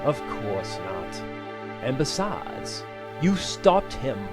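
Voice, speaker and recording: A scheming, controlling husband in an audio drama. He speaks slowly and insistently, with wide swings of pitch, over music. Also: sing-song. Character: TJ, defensive scheming husband covering up a death.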